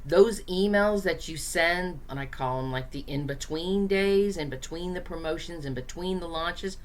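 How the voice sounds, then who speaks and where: Low-voiced hoarse woman teaching. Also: normal speed, a little hiss or hum. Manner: chatty, direct, encouraging, with frequent fillers. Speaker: host, small business marketing coach. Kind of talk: casual teaching to camera.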